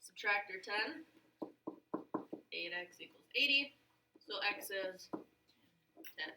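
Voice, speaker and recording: A woman's voice: medium pitch, animated, slow, bright, forceful tone, slight background noise. A woman teaching a geometry class, upbeat and coaxing her students with questions. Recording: echoey classroom, mic at a distance.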